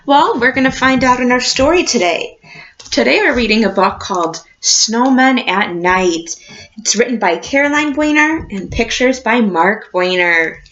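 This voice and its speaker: A woman reading a picture book aloud like a teacher, expressive and encouraging, addressing young children. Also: a little high, measured pace, lo-fi sound. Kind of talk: storybook read-aloud with questions to a class.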